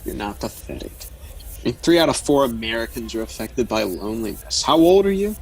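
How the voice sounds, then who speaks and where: A man plays a blunt, flirtatious character in an audio drama who probes and explains like an amateur therapist. His mid-pitched voice is frank and direct, set over noticeable background ambience. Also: very animated, a little fast, vocal fry, a little mellow, slight background noise, poor audio. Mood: frank, reassuring.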